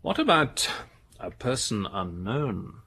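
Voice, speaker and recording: An actor playing a methodical, earnest police detective in a British radio drama, speaking in a careful, matter-of-fact way. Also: male voice, medium pitch, sing-song, takes it slowly, sharp tone, breathy edge, heavily acted. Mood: curious, earnest.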